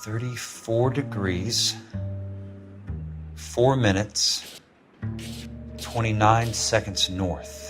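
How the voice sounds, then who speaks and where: Blunt, driven investigator, music behind. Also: male voice, on the low side, drawn-out words, long pauses. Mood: businesslike. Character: blunt, driven male investigator and war veteran. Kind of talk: video game drama acting. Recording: music under the voice.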